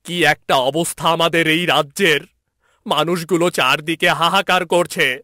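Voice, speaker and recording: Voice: mid-range voice, steady tone, unhurried, bright, forceful tone, dry recording, clean studio sound, pitched above the performer's own voice. A man playing an anxious, deferential royal minister in a fairy-tale cartoon. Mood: anxious, imploring.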